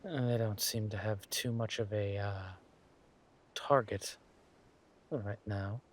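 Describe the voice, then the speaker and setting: A man voicing a suave, menacing villain king who is doting with his lover and talks in a smooth, teasing, courteous drawl for a roleplay audio drama. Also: on the low side, fairly even intonation, takes it slowly, a little hiss or hum. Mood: wry.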